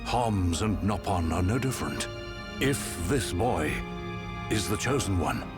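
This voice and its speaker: Older game emperor, low voice, stern, solemn, stately, music behind. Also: male voice, expressive. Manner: solemn, deliberate, authoritative. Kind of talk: scripted game cutscene acting.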